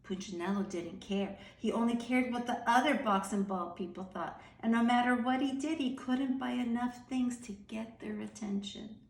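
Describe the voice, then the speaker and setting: A woman reading a children's story aloud expressively, with dramatic emphasis and some hushed phrases. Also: fairly high pitch, moderate pace, hardly any pauses, reverberant, rough recording. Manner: animated, dramatic, dropping into hushed breathy phrases.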